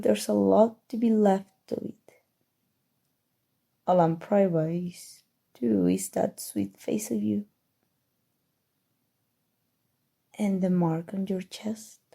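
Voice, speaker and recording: A woman voicing a smug, teasing, coolly menacing evil sorcerer in an ASMR roleplay, speaking softly and deliberately with breathy drifts into whispering. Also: medium pitch. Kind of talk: ASMR roleplay, scripted character monologue.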